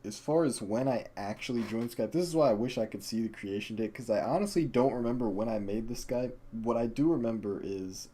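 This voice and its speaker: A man on a casual podcast, relaxed, starting his own story after chiming in with asides. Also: medium pitch, brisk, hardly any pauses, husky. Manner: relaxed, chimes in with laughs and asides. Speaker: podcast co-host. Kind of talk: casual chat.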